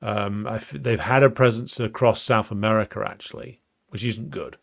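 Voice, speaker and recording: A man hosting a live stream, chatting casually and reading out viewer comments, halting with many ums and uhs. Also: fairly low pitch, fairly even intonation, creaky. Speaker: livestream host.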